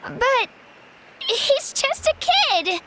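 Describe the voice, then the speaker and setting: A woman voicing an enthusiastic young female adventurer in a video game, expressive and inquisitive. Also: very high voice, drawn-out words, noisy background, heavily acted. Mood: curious, puzzled.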